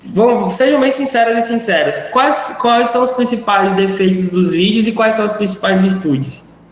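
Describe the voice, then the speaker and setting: A man's voice: high-pitched, moderate pace. Chatty male teacher in an echoey room. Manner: rambling, chatty, self-deprecating. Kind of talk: live online class wrap-up. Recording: very echoey room, faint noise.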